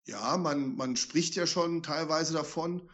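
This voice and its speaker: A man's voice: fairly high pitch, slow, fairly bright tone. A man with a stuffy, congested voice co-hosting a martial arts podcast, joking and enthusiastic in casual chat. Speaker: podcast co-host.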